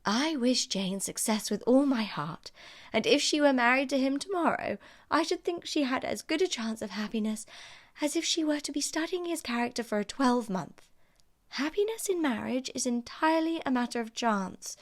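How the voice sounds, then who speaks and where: Woman acting young female confidante, pragmatic, guarded, coolly reasoning, measured, storybook dialogue. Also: high-pitched, expressive, voice pushed up. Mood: matter-of-fact. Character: Charlotte Lucas, pragmatic, guarded young Englishwoman friend. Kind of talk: audiobook character dialogue.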